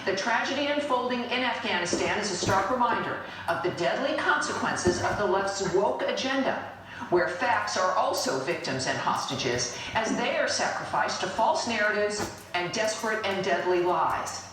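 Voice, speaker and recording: A woman's voice: medium pitch, animated, normal speed, fairly bright tone, heavy reverb, recorded from a distance, low-quality recording. An American woman delivering a scripted political opinion monologue as a talk show host, emphatic, accusatory and indignant.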